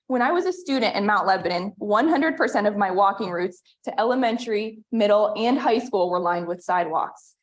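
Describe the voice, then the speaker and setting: A woman in her thirties reads a prepared statement at a town meeting. She speaks earnestly and in a measured way, at an ordinary mid-range pitch for a woman. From western Pennsylvania. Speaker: resident giving public comment. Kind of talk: prepared public comment read aloud.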